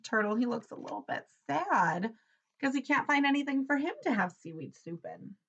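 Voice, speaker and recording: Teacher lady reading a kids book out loud, real expressive, asks questions, bit muffled sound. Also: high-pitched, moderate pace, husky. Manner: expressive, explaining simply. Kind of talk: animated picture-book read-aloud with questions for children.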